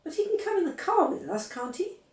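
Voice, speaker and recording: Woman voicing an eager little girl, high-pitched. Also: big pitch swings, brisk, darkish tone, recorded from a distance. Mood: bossy, matter-of-fact. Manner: earnest, bossy, persistent. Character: eager, insistent seven-year-old girl. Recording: roomy, set back from the mic.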